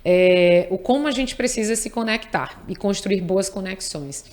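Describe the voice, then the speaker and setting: A woman's voice: mid-range voice, unhurried, a little mellow. A woman, a tax lawyer talking earnestly in an interview and repeating key words for emphasis. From Piauí, Brazil. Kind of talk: conversational interview.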